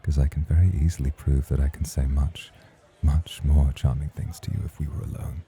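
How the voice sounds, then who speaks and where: A man's voice: very deep voice, little pitch movement, a little fast, mellow. A man playing a suave, patient nightclub host in an ASMR roleplay, speaking in a formal, courtly, flirtatious way. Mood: seductive. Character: suave, patient male nightclub host, teasing rival charmer.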